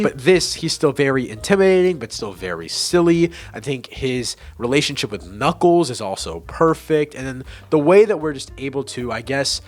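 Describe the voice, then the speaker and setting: Opinionated young man ranking movies. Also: mid-range voice. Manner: enthusiastic, opinionated, swears casually, frequent restarts. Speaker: movie reviewer. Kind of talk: casual ranking commentary to camera.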